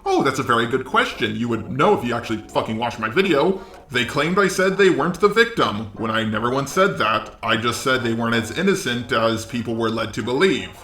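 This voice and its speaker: A man's voice: medium pitch, animated, a little fast, sharp tone, roomy sound. A man doing combative, profane online commentary with a sarcastic, exasperated way of talking. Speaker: YouTube commentator.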